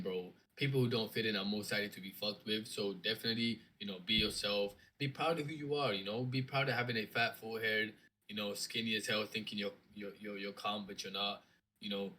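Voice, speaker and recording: A man's voice: lowish voice, pushed and bright, poor audio. A young man from Portugal, a streamer, bantering and roasting in slangy casual chat on a call.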